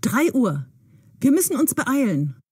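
A bold young woman hungry for adventure. Her voice is fairly high and very lively, with a slightly husky edge. She speaks briskly and excitably, in clear audio-drama style. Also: dry recording, studio quality, heavily acted. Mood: eager, probing. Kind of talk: German-learner audio drama.

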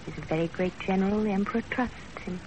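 Actress as a steadfast young wife, noisy old radio. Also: female voice, medium pitch, quick pace, natural voice. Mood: firm, devoted. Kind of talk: 1930s radio drama acting. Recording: noisy 1930s radio broadcast.

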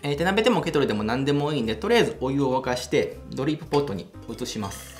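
A man's voice: medium pitch, big pitch swings, racing, darkish tone. A young man hosting a coffee channel, chatting enthusiastically as he demonstrates brewing in a small home café room. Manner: enthusiastic, chatty, laughs while explaining. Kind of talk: casual how-to demonstration. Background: background music.

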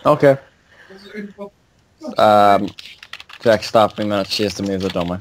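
A man's voice: on the low side, unhurried. A man rambling in a gaming voice chat with friends, piling on fillers and casual swearing. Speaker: gamer in voice chat. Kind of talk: casual voice chat while playing.

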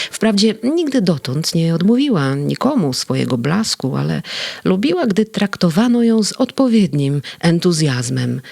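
A narrator reading a children's audio story aloud in a tender, gently expressive way. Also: mid-range voice, gravelly, no echo.

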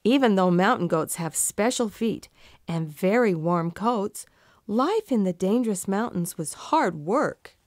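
Lively, unhurried woman reading a storybook. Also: mid-range voice, no room sound, clean studio sound. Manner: expressive, deliberate storytelling. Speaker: narrator. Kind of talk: children's storybook narration.

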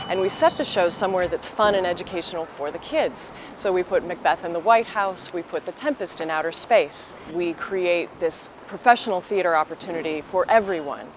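Woman interviewed on a noisy street. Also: on the low side. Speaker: theater company representative. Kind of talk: interview soundbite. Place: outdoors on a city street. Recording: faint outdoor street noise.

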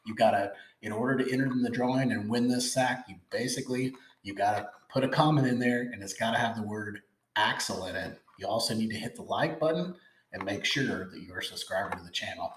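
Chatty fishing host, echoey room. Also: male voice, fairly low pitch, brisk, slight background noise. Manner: enthusiastic, chatty, repeats words. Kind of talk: casual product unboxing. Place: indoor room with logo backdrop.

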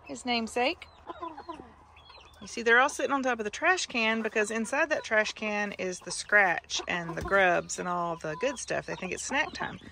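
A woman's voice: medium pitch, normal speed, sharp tone, slight background noise. Chatty older woman among clucking hens. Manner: chatty, sing-song greetings to her hens. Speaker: backyard chicken keeper, vlogger. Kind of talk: casual walk-through chat. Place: outdoors at a backyard chicken coop. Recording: outdoor recording with clear clucking hens. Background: chickens clucking.

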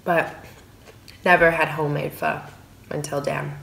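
A woman's voice: low-pitched, measured pace, gravelly. Chatty woman eating at home, echoey room. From San Francisco, USA. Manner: relaxed, chatty, talking between bites of food. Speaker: food vlogger. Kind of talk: casual eating vlog. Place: small room at home, indoors at a dining table. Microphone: phone camera on the table.

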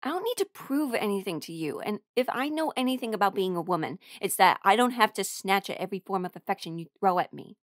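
A woman's voice: medium pitch, expressive, quick pace, hardly any pauses, dry recording, studio quality, natural voice. A woman acting in an audio roleplay as a defensive, emotional musician rejecting her bandmate's love. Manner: emotional, exasperated, swearing. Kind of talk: audio roleplay drama monologue.

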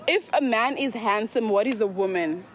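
Bright high-voiced woman quizzing passersby. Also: a faint crowd. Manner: upbeat, quick questions, frequent thanks. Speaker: street interviewer. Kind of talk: street quiz interview. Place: outdoors on a street. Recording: street recording with faint noise.

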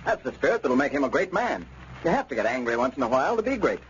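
A man's voice: mid-range voice, big pitch swings, fast talker, close to an everyday voice. An actor playing an easygoing, assured American father in an old radio advertising sketch. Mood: breezy. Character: breezy, easygoing young husband and father. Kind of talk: 1930s radio commercial dramatization. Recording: dull, noisy old radio recording.

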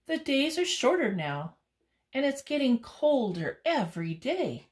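A sensible storybook goose with a raised, bright voice, getting ready to fly south for the winter, as a woman reads it out. The lines are slow and deliberate, with lively rises and falls. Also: sits high, pitched above the performer's own voice. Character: chilly, sensible goose about to migrate.

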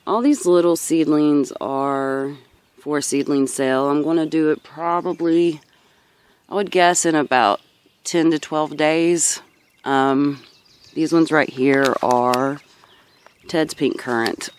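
A woman's voice: deep voice, fairly even intonation, slow, clear, resonant tone, no echo. A woman in her thirties giving a casual, rambling vlog-style tour as a gardener, recorded outdoors on a handheld camera. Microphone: handheld camera or phone.

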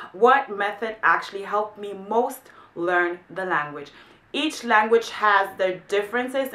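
A woman in her twenties, a native German speaker, chatting to camera in a bedroom vlog about learning languages, with a quick, filler-strewn manner. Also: medium pitch, bright, forceful tone. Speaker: language vlogger. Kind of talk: casual explainer vlog to camera. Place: small bright bedroom. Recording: echoey room.

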